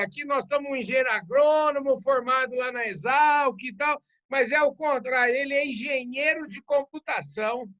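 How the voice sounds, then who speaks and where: A male podcast host chats with his guest in a loose, colloquial, joking manner. His voice is bright and forceful, and the recording sounds dull and lo-fi. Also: very high voice. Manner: chatty, colloquial, jokey. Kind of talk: casual interview hosting.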